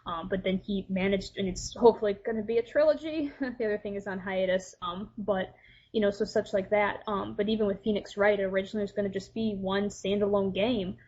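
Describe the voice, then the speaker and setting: A woman's voice: mid-range voice, fairly even intonation, brisk, a little mellow. A woman sharing opinions casually as a podcast guest, thinking aloud and restating her points. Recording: dull, lo-fi voice-chat audio.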